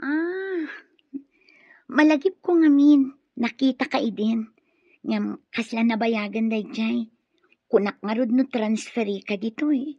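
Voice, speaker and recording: A woman's voice: on the high side, slow pace, long pauses, dry recording. A woman with an Ilocano accent playing a friendly, trusting young student in a radio drama. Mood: shy, friendly. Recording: dull radio drama sound, music behind.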